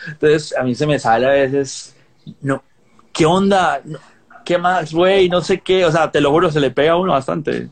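A man's voice: fairly high pitch, normal speed. A man hosting a podcast interview, chatty and joking in a casual back-and-forth. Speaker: podcast host. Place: Los Angeles.

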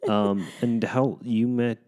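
A man's voice: on the low side, fairly even intonation, slow, dark tone. Joking man co-host in his thirties. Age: 30s. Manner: teasing, joking banter. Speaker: podcast co-host. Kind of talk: casual chat.

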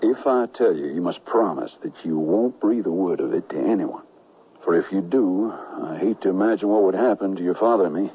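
An actor playing a cautious, gentlemanly conspirator in a western radio drama, speaking in a measured, formal and earnest way. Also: male voice, low voice, husky. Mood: guarded, courteous. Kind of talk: radio drama acting with first-person narration. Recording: muffled, narrow-band 1970s radio broadcast.

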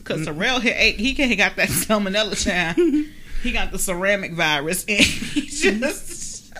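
A woman's voice: medium pitch, big pitch swings, unhurried, pushed and bright, slight background noise. A woman hosting a podcast in casual chat, with a playful, exclamatory manner and frequent laughter. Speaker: podcast host.

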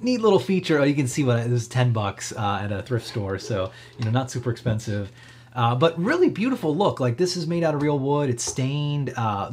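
A man with a slightly husky voice hosting a casual live tech demo, thinking aloud in a rambling, conversational way. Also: medium pitch. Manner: conversational, rambling, frequent hedging asides. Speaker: livestream host demonstrating electronics projects.

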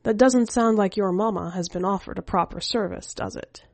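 A woman's voice: mid-range voice, moderate pace, creaky, a little mellow, no room sound. A woman voicing a jaded, prickly female skeleton spirit in a short story, brusque and dismissive. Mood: brusque, casual. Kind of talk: audiobook-style short story character voice.

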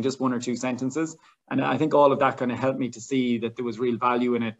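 An Irish man in his thirties chats easily about his life as a guest, often pausing on "um" and restarting his sentences. His pitch stays flat and even, and the audio is dull and lo-fi. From Ireland. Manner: chatty, many fillers and restarts. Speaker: language teacher and guest. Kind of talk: casual interview talk.

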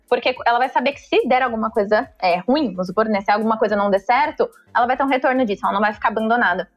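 A woman with a Brazilian accent giving advice in a conversational style. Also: fairly high pitch.

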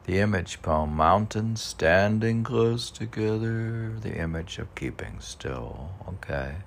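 Slow, gravelly low-voiced man. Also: animated. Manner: halting, muttering to himself, musing. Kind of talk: casual rambling talk while reading poems aloud.

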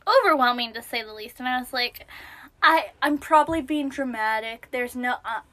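A young woman co-hosting a podcast, chatting casually with a naturally high voice and a self-deprecating manner, sometimes dropping to a whisper. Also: lively intonation, moderate pace, bright, forceful tone. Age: young adult. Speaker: podcast co-host. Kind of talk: casual chat.

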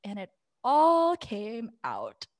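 A woman's voice: on the high side, huge pitch swings, very slow, no room sound. Chatty young woman telling personal stories. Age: young adult. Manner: chatty, informal, long-winded. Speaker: podcast guest. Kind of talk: casual conversational personal storytelling.

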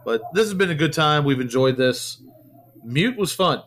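A man's voice: a little high, lively intonation, normal speed, a bit bright, a little hiss or hum. A man co-hosting a podcast in casual chat, friendly and teasing, often repeating words as he talks. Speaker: podcast co-host.

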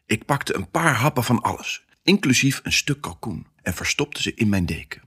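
A male actor voicing an excitable pet dog who tells his own Christmas adventure in an expressive, theatrical family audio drama style. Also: mid-range voice, moderate pace, slightly rough, heavily acted. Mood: playful, secretive. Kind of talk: first-person storytelling in a family audio drama.

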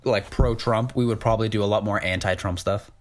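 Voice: medium pitch, fairly even intonation, fairly quick. Wry, sarcastic podcast co-host. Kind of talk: casual political chat.

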